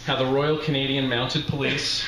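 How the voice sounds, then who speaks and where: Middle-aged man, live literary reading, wry storyteller, deliberate phrasing, roomy sound, slight background noise. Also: mid-range voice, flat delivery. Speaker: author reading his memoir aloud. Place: small bar with brick walls, live reading.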